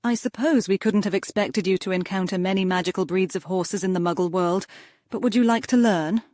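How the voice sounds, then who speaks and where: A woman's voice: medium pitch, brisk, a little mellow, no room sound, clean studio sound, natural voice. A woman playing a gracious, genteel aristocratic mother in a comic dub. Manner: polite, genteel.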